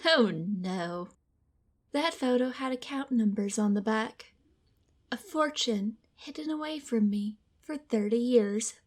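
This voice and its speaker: An actress playing a cold, calculating rich woman in a noir audio drama, with a measured, condescending and formal manner. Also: fairly high pitch. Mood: scornful. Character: cold, haughty, calculating rich femme fatale.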